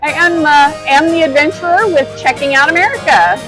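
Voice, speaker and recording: A woman's voice: high voice, brisk, a bit bright, poor audio. A woman from the Czech Republic, a travel adventurer and speaker, answering interview questions in an open, earnest way. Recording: music playing underneath.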